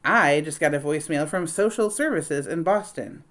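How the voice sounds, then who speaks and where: A woman's voice: sits low, moderate pace, a bit bright. Woman putting on exasperated, sarcastic young nanny. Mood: sarcastic, annoyed. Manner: sarcastic, indignant.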